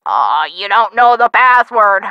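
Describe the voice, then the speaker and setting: A woman's voice: medium pitch, very animated, smooth, dry recording, muffled recording. A woman voicing a chatty, forgetful, feisty elderly woman with folksy old-time slang in a video game. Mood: flustered, forgetful. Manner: chatty, forgetful, folksy exclamations, drawn-out words. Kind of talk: video game character acting.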